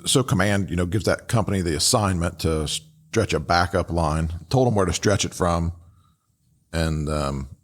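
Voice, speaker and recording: A man with a low voice speaks as a podcast host. He gives loose, unscripted commentary in a halting way, repeating words and adding casual asides.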